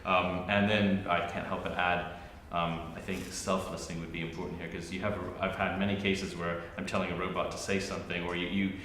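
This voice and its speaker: A low-pitched man with a bright, forceful edge speaks on an academic panel. He thinks aloud, restarting his sentences and filling pauses. His voice rings through a roomy hall with a long reverb tail and a faint ambience. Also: level tone, a little hiss or hum. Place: university arts centre hall.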